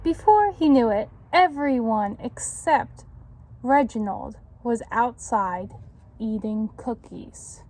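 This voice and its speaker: A woman tutor reading a children's book aloud in an instructive way, pausing to put questions to young listeners. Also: fairly high pitch, expressive, mellow.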